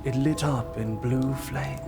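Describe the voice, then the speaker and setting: A man's voice: medium pitch, steady tone, takes it slowly, vocal fry, over loud music. A man giving solemn, dramatic scripted narration of a fantasy story.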